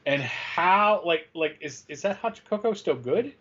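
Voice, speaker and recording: A man's voice: high-pitched, animated, measured pace, over a noisy crowd. A man co-hosting in casual chat, on a slightly dull-sounding recording. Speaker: co-host.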